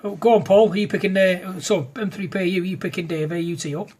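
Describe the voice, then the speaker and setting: A man chatting easily on a ham radio, often saying mate and clearing his throat. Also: high voice, steady tone, fast talker, background noise. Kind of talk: casual radio chat.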